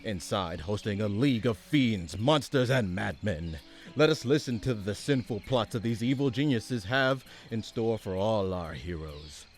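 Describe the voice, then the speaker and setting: A man's voice: lowish voice, expressive, unhurried, soft background music. A man acting a grandiose, theatrical character in a parody superhero radio play, with a sarcastic, exasperated streak. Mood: grandiose, dramatic. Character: bombastic radio announcer turned petty, exasperated, pompous supervillain leader.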